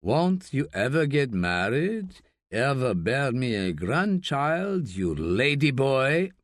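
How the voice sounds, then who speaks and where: A man voicing a volatile, secretive older father, talking slowly and deliberately. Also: medium pitch, big pitch swings, no room sound, clean studio sound. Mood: gruff, mocking.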